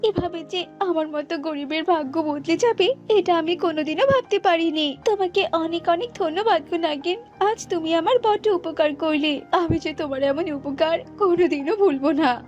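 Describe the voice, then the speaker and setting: A woman's voice: extremely high pitch, normal speed, a little mellow, muffled recording, soft background music, pitched above the performer's own voice. A woman voicing a poor, kind female bird in a cartoon, earnest and emphatic. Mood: deeply grateful. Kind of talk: cartoon fairy-tale acting.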